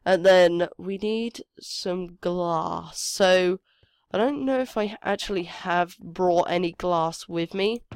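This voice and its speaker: A woman's voice: mid-range voice, clear, resonant tone, no echo, clean studio sound. A woman with a cold, her voice sniffly and cracking, chatting hesitantly and thinking aloud as she commentates her own game. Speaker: gameplay commentator.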